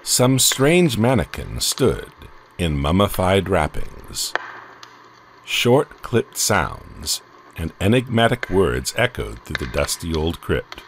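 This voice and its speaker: A man narrating a horror story in a slow, dramatic way. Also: low-pitched, sing-song, a little hiss or hum.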